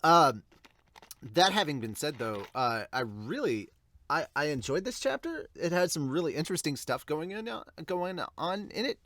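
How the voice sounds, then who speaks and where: A man's voice: fairly high pitch, big pitch swings, normal speed, fairly bright tone, dry recording. A man hosting a manga review podcast, chatting to viewers in an informal, rambling way. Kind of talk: casual chat to viewers.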